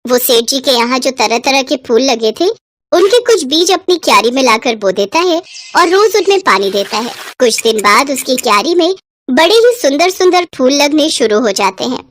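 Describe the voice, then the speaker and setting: A woman narrating a children's moral cartoon story in a steady, clear way. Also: sits high, normal speed.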